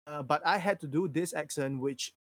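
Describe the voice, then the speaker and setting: Candid Singaporean man, slightly high voice, dry sound. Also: moderate pace, breathy edge, dry recording. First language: Mandarin. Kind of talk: casual livestream chat.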